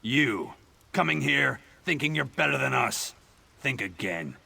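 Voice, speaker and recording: An actor playing an arrogant, gruff male orc hunter in a video game, boastful and challenging. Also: medium pitch, animated, a little slow, sharp tone, no room sound, exaggerated voice. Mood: scornful, challenging. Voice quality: gruff, raspy. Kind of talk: video game character acting.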